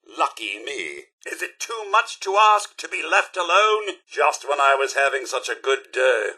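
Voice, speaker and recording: A man's voice: a little high, big pitch swings, a little slow, bright, forceful tone, husky, exaggerated voice. A man playing a fussy, loyal robot butler in a video game, with a British accent and a formal, theatrical, drily sarcastic way of speaking. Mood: weary, irritated. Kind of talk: scripted video game voice lines.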